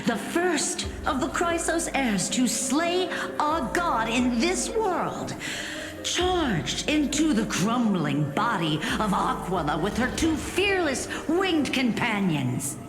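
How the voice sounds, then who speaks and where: An actress playing an aged, tender grandmother who tells old legends in a solemn, dramatic fantasy game style. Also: on the high side. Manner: measured, dramatic, weighty phrasing. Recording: reverberant studio voice under music.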